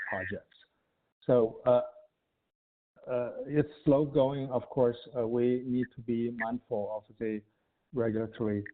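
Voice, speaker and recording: A man answering questions as a company executive on an earnings call, speaking haltingly and hesitantly in short broken phrases. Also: fairly low pitch. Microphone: conference call line. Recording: tinny, narrow phone line.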